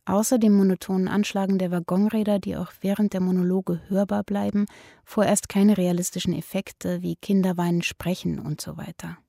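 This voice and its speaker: A woman reads a play's stage directions aloud. Her voice is mid-pitched and mellow, and the recording is dry and close. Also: fairly even intonation, moderate pace, creaky, no room sound.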